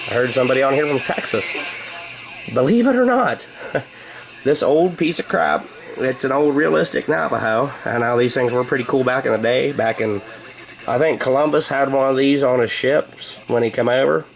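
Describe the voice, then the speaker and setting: A man's voice: mid-range voice, normal speed, gravelly, low-quality recording. An American man vlogging in a rambling, casual chat, with a wry, joking, sarcastic manner. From United States.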